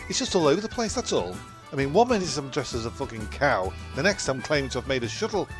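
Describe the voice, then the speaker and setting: Cheeky animated prankster bloke, played by a man. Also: medium pitch, fast talker, over music, close to an everyday voice. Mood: confused. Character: cheeky, confused prankster bloke with crude jokes. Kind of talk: comedy audio drama acting.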